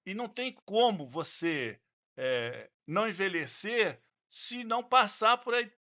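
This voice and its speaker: Homem brasileiro de voz aguda e brilhante. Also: male voice, high voice, lively intonation, measured pace, bright, forceful tone, dull audio. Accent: Brazilian. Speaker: podcast guest. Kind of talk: conversational podcast discussion.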